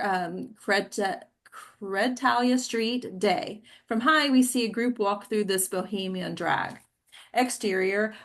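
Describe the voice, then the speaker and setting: Female narrator for a screenplay read, mid-range voice, plain scripted delivery, some stumbles. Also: medium pitch. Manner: stumbles over words. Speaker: stage directions reader.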